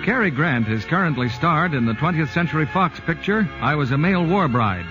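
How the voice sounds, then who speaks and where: A man's voice: fairly high pitch, lively intonation, a little fast. A man reading scripted credits and sponsor copy as a 1940s radio announcer. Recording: dull old radio broadcast over music.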